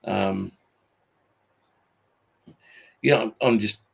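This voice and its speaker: A British man in his forties talking casually and off the cuff in a halting, repetitive, grumbling way, sounding tired. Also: lowish voice, creaky, slightly rough. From Britain. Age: 40s. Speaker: YouTube channel host. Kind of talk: casual unscripted monologue.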